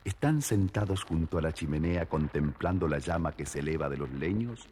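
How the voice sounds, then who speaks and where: A man, giving expressive scripted narration of a children's story. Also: very deep voice, moderate pace. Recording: reverberant old record, faint noise.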